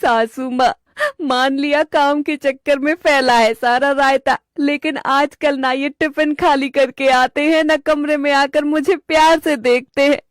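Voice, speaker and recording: A woman acts out a young cartoon wife, suspicious and full of complaint. Her voice is high and whiny, with dramatic pleading. The sound is close and dry. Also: fairly even intonation, unhurried, no room sound. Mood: tearful complaining. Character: young suspicious, plaintive wife and daughter-in-law.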